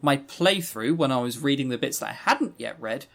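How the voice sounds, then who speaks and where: A man's voice: mid-range voice, expressive, normal speed, creaky, fairly bright tone. A man with a mid-range voice co-hosts a casual review podcast. He talks in a rambling, opinionated way and swears freely as he chats. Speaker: podcast co-host. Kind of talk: casual chat, game review.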